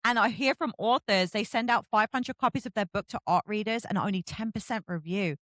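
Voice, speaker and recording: A woman's voice: medium pitch, brisk, fairly bright tone, dry recording. A woman hosting a casual interview about books, chatting in an effusive, gushing way. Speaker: host, book influencer and reviewer.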